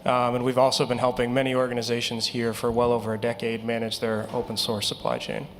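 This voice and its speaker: A man's voice: mid-range voice, fast talker. A man panelist introducing his company in a matter-of-fact way. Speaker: panelist, tech company co-founder. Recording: hall reverb with faint ambience.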